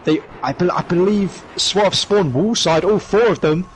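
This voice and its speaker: A man commentating an esports match play by play, hoarse from shouting, with quick excited calls. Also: sits high. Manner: rapid excited calls with rising bursts. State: hoarse, losing his voice.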